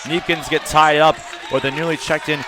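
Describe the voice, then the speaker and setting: A man with a fairly high voice calls a basketball game live. His phrases are urgent and punchy. A loud crowd and arena noise surround him. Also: level tone, normal speed. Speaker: play-by-play announcer. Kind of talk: live basketball play-by-play commentary.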